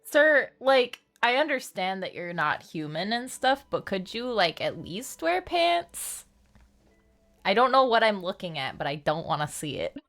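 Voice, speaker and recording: A woman's voice: mid-range voice, big pitch swings, a little slow, creaky, fairly bright tone, faint music behind. A woman livestreaming a game, chatting casually and reacting to it. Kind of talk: casual stream chat and reactions. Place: indoor livestream setup, music behind.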